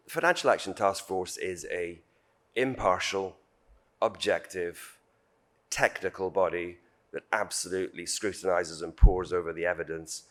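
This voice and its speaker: A man with a British accent and a very low voice speaks formally on a panel. He is measured and diplomatic, leaning on key phrases as an official would. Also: a bit bright. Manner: measured, diplomatic, emphatic repetition.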